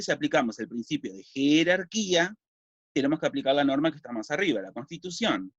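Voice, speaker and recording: Lively Uruguayan man teaching a civics class. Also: on the high side, no echo. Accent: Uruguayan Spanish. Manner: explanatory, asks and answers his own questions. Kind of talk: recorded video lesson. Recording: dull lo-fi dry recording.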